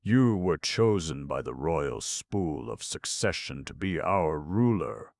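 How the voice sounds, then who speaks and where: A man's voice: a bass voice, expressive, slow pace, creaky, darkish tone, no room sound, studio quality, exaggerated voice. A man voicing a cheerful, bossy ragman who chuckles and speaks pompously. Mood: gleeful. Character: cheerful, gleeful, bossy male chief scrapper.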